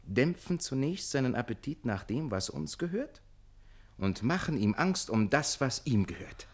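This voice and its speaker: An actor playing a cheeky, exuberant manservant in a classic German radio play, theatrical, boastful and teasing. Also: male voice, mid-range voice, sing-song, normal speed. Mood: sly scheming.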